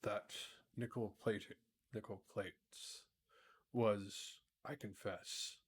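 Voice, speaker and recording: A low-voiced, courtly emperor made of tin, acted out by a man reading aloud. He is kindly but rather pompous, choosing formal words with measured dignity, as a storybook ruler would. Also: fairly even intonation, slightly rough, whispered. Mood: proud, dignified. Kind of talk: storybook character read aloud.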